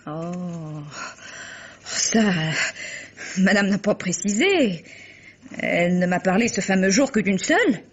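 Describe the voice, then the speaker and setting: A woman's voice: mid-range voice, animated, takes it slowly, long pauses, breathy edge. A woman playing a chatty, eager Parisian housemaid in a 1950s-style French radio drama, colloquial and gossipy. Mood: confiding. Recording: muffled, hazy old radio recording.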